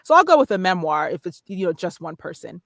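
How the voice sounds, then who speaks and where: A woman's voice: lowish voice, big pitch swings, fast talker, fairly bright tone, no echo, clean studio sound. A woman guest on a podcast, chatting casually about narration work and acting out little examples as she explains. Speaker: guest, voiceover artist. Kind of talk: casual interview talk.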